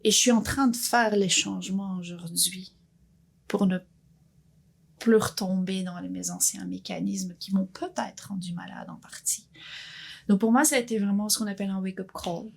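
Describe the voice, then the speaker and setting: A woman in her fifties speaks with a gravelly, husky texture. She talks earnestly and thoughtfully, often restarting her sentences as she reflects on her path as a guest. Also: medium pitch, steady tone, normal speed. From Belgium. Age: 50s. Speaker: guest entrepreneur. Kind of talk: reflective personal interview.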